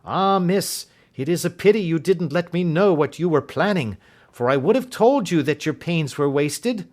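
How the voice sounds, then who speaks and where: A man's voice: a little high, lively intonation, moderate pace, a little mellow, pitched above the performer's own voice, lightly acted. A man voicing a tall, gaunt woman servant who speaks plainly and earnestly.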